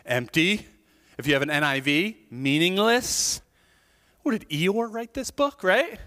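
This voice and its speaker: A man preaches from the pulpit in an animated, chatty manner, often asking his listeners "right?" His voice sits high and leaps up and down. A long reverb from the church hall trails behind his words. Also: measured pace. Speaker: pastor. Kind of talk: sermon. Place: church sanctuary.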